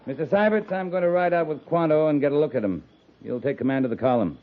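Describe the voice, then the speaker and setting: An American man playing a seasoned, calmly stern cavalry captain in a 1950s radio drama, speaking in a measured, clipped, authoritative way. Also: fairly high pitch, lightly acted. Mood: brisk, commanding. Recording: muffled, noisy 1950s radio recording.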